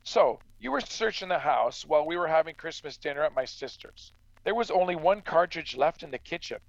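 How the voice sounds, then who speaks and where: An actor playing a stern, practical farmer in a radio drama, blunt and admonishing but fair-minded. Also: male voice, on the high side, lively intonation, fairly quick, dry recording, dull audio. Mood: suspicious, accusing. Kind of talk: true crime radio drama acting.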